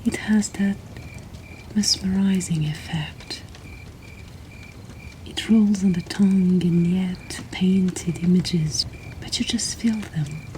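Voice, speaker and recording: A woman whispers in a breathy, soothing and intimate way, hosting and reading poetry in an ASMR style. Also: on the low side, takes it slowly, long pauses, dark tone, slight background noise. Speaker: host of a poetry reading series.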